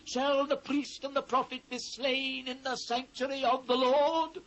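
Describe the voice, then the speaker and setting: Anguished biblical prophet, British, high voice, solemn declamation, slow delivery, for dramatised scripture. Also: male voice, level tone, a bit bright, exaggerated voice, poor audio. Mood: impassioned grief. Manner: solemn, declamatory, measured phrasing. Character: anguished biblical prophet lamenting a fallen city. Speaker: actor reading scripture. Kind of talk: dramatised scripture reading, theatrical. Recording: faint noise, old cassette transfer.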